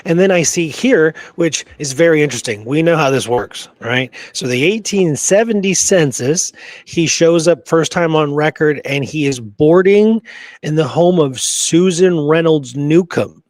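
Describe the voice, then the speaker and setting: A man's voice: on the high side, a little slow, dry recording. A man co-hosting a history podcast, talking through the subject in a casual, conversational way. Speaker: podcast co-host.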